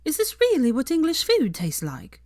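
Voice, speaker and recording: Woman voicing a confident, lively young Italian woman. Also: sits high, moderate pace, no room sound, clean studio sound, pitched above the performer's own voice. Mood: curious.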